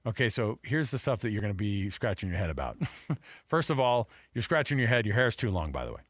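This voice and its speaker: A man's voice: on the low side, sing-song, brisk, a bit bright, dry recording, studio quality. An American man co-hosting sports talk radio in a casual, conversational way with rhetorical asides.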